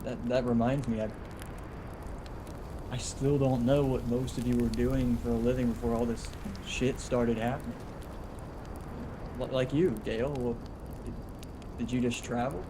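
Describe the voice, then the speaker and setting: A man's voice: mid-range voice, fairly even intonation, unhurried, long pauses, natural voice. A man playing a mild, curious survivor with a hesitant manner in a drama table read. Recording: noisy home video-call microphone.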